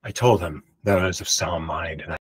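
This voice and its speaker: Husky-voiced man telling a rambling story. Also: low-pitched, fairly even intonation, fairly quick. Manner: rambling, emphatic, loud projection. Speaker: vlogger. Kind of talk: personal storytelling to camera. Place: home room with pictures on the walls. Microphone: large studio mic beside him.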